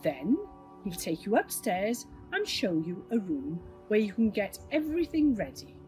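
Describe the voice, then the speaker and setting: A woman reading a story aloud to children in an expressive storyteller's style. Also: mid-range voice, measured pace, faint music behind.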